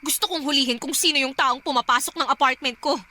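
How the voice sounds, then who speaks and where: A woman's voice: sits high, quick pace, pushed and bright. An actress playing an anxious young woman being stalked, in an emotional Filipino radio drama style. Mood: uneasy, apologetic.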